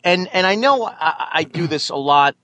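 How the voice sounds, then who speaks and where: A man in his late thirties co-hosting a casual talk show, halting and repeating himself in self-mocking bits. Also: on the high side, expressive, bright, forceful tone, dry recording. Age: 30s. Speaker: podcast co-host. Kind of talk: casual banter.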